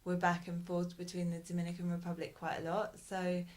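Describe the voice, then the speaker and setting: Low, flat-voiced woman speaking hesitantly. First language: English. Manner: thoughtful, hesitant, with frequent pauses and restarts. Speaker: interviewee, bilingual parent. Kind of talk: casual interview conversation.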